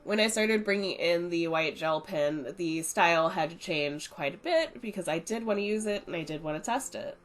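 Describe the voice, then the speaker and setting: A woman's voice: fairly low pitch, normal speed, few pauses. A young woman, congested from a cold, chatting casually as she talks through her art supplies. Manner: chatty, relaxed, explaining as she goes. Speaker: art channel host. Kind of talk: casual art review voice-over.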